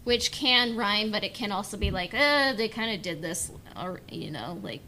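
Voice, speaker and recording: A woman gives her opinions in a loose, casual podcast chat. Her voice is average in pitch, and she hedges and hesitates as she goes. A rumbly noise is audible behind her. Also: expressive, normal speed, sharp tone, rough recording. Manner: hesitant, hedging, frequent "like" and "you know". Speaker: podcast panelist discussing a book.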